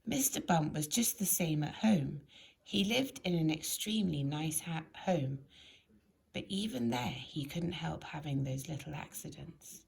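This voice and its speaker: A woman reads a children's story aloud in an expressive, emphatic storytelling manner. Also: deep voice, unhurried, vocal fry, slightly rough, poor audio. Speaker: storybook reader.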